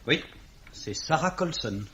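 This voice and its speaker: An actor playing an earnest, fussy young English gentleman, plaintive and polite, in 1950s French radio drama style. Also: fairly high pitch, animated, measured pace, a little hiss or hum. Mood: pained, earnest. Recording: dull, slightly rough old radio recording.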